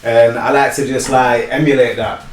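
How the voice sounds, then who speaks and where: A man's voice: mid-range voice, level tone, moderate pace, echoey room. A man, a personal trainer, introducing himself in an earnest, upbeat way. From Sheffield, England. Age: 30s. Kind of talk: casual self-introduction.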